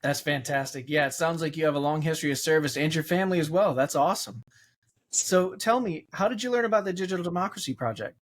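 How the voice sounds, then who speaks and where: A man's voice: a little high, quick pace. A man interviewing a candidate in a casual, upbeat and encouraging way.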